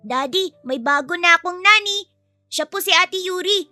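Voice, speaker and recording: A woman's voice: very high-pitched, animated, moderate pace, sharp tone, dry recording, faint music behind, pitched above the performer's own voice, full character voice. A woman voicing a polite, earnest, sweet little boy in a dramatised story.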